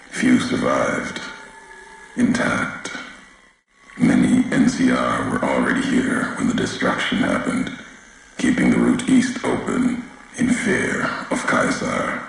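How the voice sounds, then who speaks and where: An actor playing a grave, brooding, vengeful wasteland courier in a video game, speaking in clipped, solemn, philosophical monologue with a gravelly, husky voice. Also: male voice, extremely low pitch, no echo, exaggerated voice. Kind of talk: video game voice acting, slow portentous monologue.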